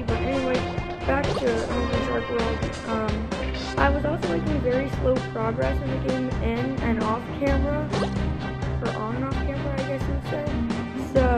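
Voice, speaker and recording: A young woman hosting a gaming channel, rambling through a casual voice-over with many ums and restarts. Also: on the high side. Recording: loud game music behind. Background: loud music.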